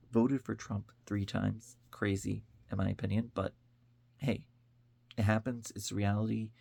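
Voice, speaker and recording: A man's voice: fairly low pitch, vocal fry, a little mellow, husky, dry recording. An American man from upstate New York giving an informal opinion monologue as an online commentator, speaking in an earnest, conversational way. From upstate New York, United States.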